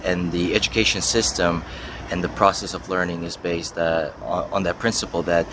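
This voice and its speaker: Low-voiced young man, noisy street. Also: flat delivery, moderate pace. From Russia and the US. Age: young adult. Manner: hedging, thinking aloud. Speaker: university student being interviewed. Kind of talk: casual interview answers.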